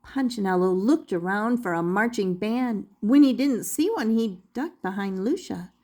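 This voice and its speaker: An older woman reading a children's picture book aloud expressively, deliberately drawing out key words as a storyteller. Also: mid-range voice, expressive, darkish tone.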